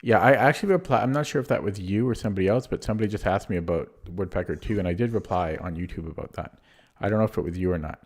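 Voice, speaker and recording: A man with a low, deep voice sounds hoarse and keeps clearing his throat. He streams chess live and mutters his way through moves. His talk is casual and unhurried. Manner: thinks aloud while playing, muttering over moves.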